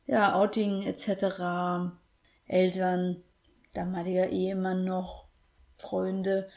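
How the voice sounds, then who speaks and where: A middle-aged woman co-hosting a casual podcast chat, telling her story in a reflective, hesitant way with many pauses and fillers. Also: fairly low pitch, monotone, darkish tone. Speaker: podcast co-host.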